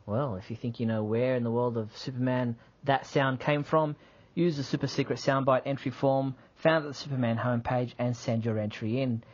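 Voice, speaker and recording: A man's voice: medium pitch, steady tone, normal speed. A man co-hosting a podcast in casual, teasing chat. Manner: friendly, teasing, uses fillers. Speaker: podcast co-host. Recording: slightly muffled podcast audio.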